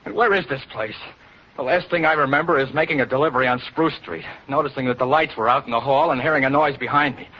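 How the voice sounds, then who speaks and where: A man's voice: medium pitch, quick pace, natural voice. A man playing a tough, indignant kidnapped war veteran in 1940s radio drama, with an American accent and blunt, slangy, urgent speech. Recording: dull, noisy vintage radio recording.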